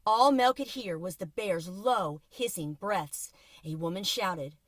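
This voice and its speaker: A woman reading a children's book aloud from inside a car, with expressive, suspenseful dramatic narration like a teacher. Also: medium pitch, measured pace, no echo. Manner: expressive, suspenseful storytelling with varied volume.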